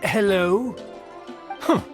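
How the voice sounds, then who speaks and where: A man's voice: high-pitched, drawn-out words, music in the background. A man voicing a haughty, irritable aristocrat in a video game, speaking in pompous, formal old-fashioned language and scornful of commoners. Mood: indignant, condescending. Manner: pompous, archaic formal diction, affronted.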